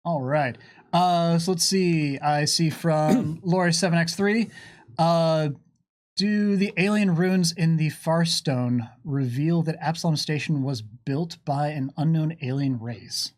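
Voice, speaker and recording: A man answering viewer questions in a casual livestream chat, with playful, animated asides. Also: a little high, takes it slowly.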